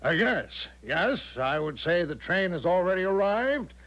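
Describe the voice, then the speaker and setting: Eccentric con-man major, hearty and grandly reassuring, very animated delivery, muffled seventies radio drama. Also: high voice, unhurried, full character voice. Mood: breezy, reassuring. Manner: hearty, reassuring, grandly old-fashioned turns of phrase. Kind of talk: 1970s radio drama acting. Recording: dull 1970s radio drama recording.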